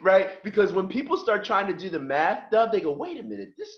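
Animated middle-aged man telling stories. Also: sits high, a little fast, dull audio. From from just outside Philadelphia. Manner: lively storyteller who acts out quoted voices. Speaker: podcast guest. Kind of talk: casual storytelling.

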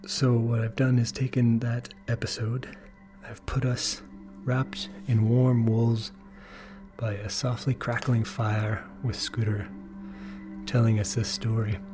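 A man with a mid-range voice presents a bedtime podcast in a soothing way. His phrasing is soft and lingering, with a hushed touch. Music sits prominently behind his words. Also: medium pitch. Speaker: podcast presenter introducing an episode. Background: music under the voice.

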